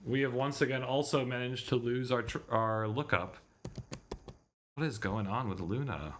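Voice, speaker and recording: A man's voice: mid-range voice, normal speed, fairly bright tone, slight background noise. A man streaming a visual novel, chatting casually and muttering mildly exasperated asides. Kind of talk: casual livestream chat.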